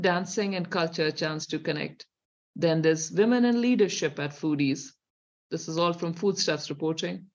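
Woman, Indian accent, middle-aged, lowish voice, sardonic talk show commentary, dull lo-fi sound. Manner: sardonic, questioning, reads from reports. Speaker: co-host.

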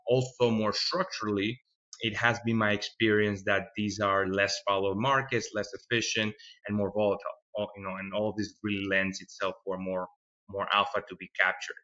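A man from Venezuela in his thirties talks through a podcast interview at a low pitch, with a bright, forceful edge to his voice. He speaks thoughtfully, often doubling a word as he gathers his next thought. The recording has a muffled, lo-fi quality. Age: 30s. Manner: thoughtful, repeats words while finding them. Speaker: fund manager guest.

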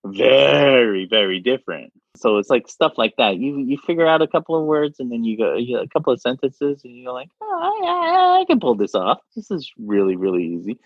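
A man's voice: mid-range voice, big pitch swings, a little fast, hardly any pauses, no room sound. A man speaking casually as an interview guest, rambling and repeating words as he talks. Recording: dull lo-fi sound.

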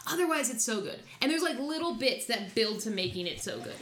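A woman's voice: on the high side, animated, brisk, a bit bright, a little hiss or hum. A woman co-hosting a casual chat about films and comics, blunt and opinionated, swearing freely. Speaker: podcast co-host. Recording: roomy echo.

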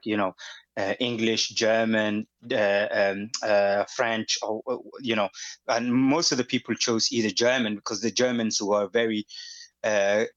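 A man's voice: lowish voice, fairly bright tone. Hesitant Somali-born man, muffled audio. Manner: hesitant, many fillers and restarts. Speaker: guest. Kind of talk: conversational interview. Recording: dull lo-fi audio.

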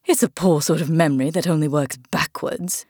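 A woman's voice: deep voice, moderate pace, darkish tone, husky, dry recording, studio quality. A woman voicing a kindly, muddle-headed storybook queen in an airy, matter-of-fact way. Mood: airy, careless.

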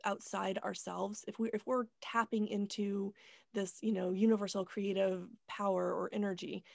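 A woman's voice: mid-range voice, creaky, a little mellow, dry recording, studio quality. A woman hosting a podcast, earnest and musing in casual chat, swearing freely. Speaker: podcast host.

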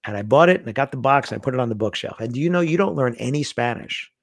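A man's voice: medium pitch, rapid-fire, creaky, no echo, clean studio sound. A middle-aged man telling stories in a casual conversation, acting out the lines he quotes. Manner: animated storyteller, acts out quoted lines. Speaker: guest.

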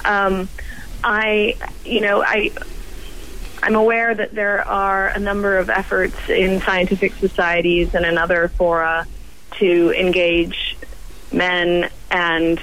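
A woman scientist guest on a radio roundtable, croaky from a cold, speaking haltingly with many pauses and restarts. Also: medium pitch, little pitch movement, fairly bright tone, slight background noise, low-quality recording.

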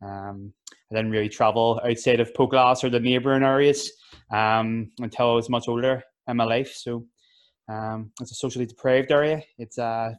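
A young man with a Belfast accent, telling about his life in a relaxed, laughing way. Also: medium pitch, clear, resonant tone. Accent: Northern Irish, Belfast. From West Belfast, Northern Ireland. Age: young adult. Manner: laid-back, laughs easily, fillers. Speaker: youth worker and rapper. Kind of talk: casual interview.

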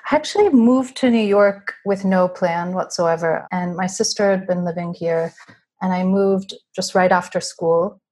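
A woman in her thirties from California, a documentary filmmaker, answering interview questions in a relaxed, chatty way over a remote connection. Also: lowish voice, normal speed. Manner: relaxed, chatty, with light laughs. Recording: remote call audio.

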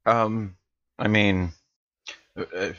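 Low-voiced man roleplaying, dull recording. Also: fairly even intonation, drawn-out words, fairly bright tone. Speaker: tabletop game player. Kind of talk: casual in-character roleplay. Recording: dull, lo-fi microphone sound.

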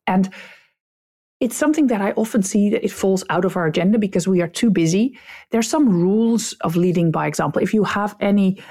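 A woman's voice: mid-range voice, mellow, breathy edge. Blunt Dutch-accented woman podcast host. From Netherlands. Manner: blunt, direct. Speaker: podcast host, customer experience coach. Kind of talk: solo podcast talk giving advice.

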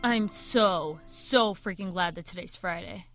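A woman's voice: on the low side, measured pace, fairly bright tone, faint music behind. A young woman playing an earnest vampire hunter in an amateur radio drama, speaking casually and hesitantly.

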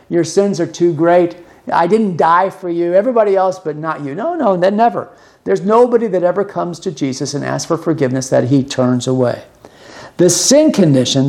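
A man with a somewhat high voice delivers a sermon in a conversational way. He explains each point earnestly, often repeating a phrase to drive it home. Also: darkish tone. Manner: earnest, explanatory, uses repetition for emphasis. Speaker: preacher and podcast host.

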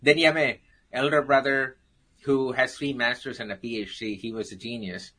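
An Indian-accented man with a bright, forceful voice tells his life story as a guest. He jokes about himself while he narrates. His pitch sits in the middle for a man. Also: steady tone, normal speed, breathy edge, poor audio. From South India. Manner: storytelling, jokey, self-deprecating.

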